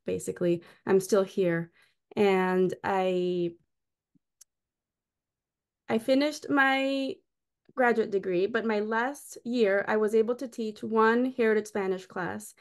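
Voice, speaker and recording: A middle-aged woman from Costa Rica giving a friendly, explanatory lecture over a video call from a small room. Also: medium pitch. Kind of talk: online lecture with slides. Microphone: webcam on a video call.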